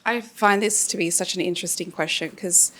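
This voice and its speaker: A woman's voice: on the low side, a little fast, fairly bright tone. A woman, thoughtfully sharing her view in a group Q&A. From Australia. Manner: thoughtful, reflective. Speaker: workshop participant.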